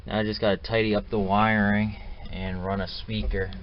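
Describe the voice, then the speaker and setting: A man with a deep voice talks through a DIY job in a casual, plainspoken way, addressing viewers like buddies. The audio is dull and lo-fi, with faint noise behind him. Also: level tone, moderate pace. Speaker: DIY truck restorer hosting his build videos. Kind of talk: casual hands-on how-to narration.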